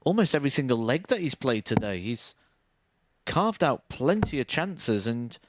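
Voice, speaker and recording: Darts commentator over background noise. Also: male voice, medium pitch, sing-song. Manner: measured, explanatory. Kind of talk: live sports commentary.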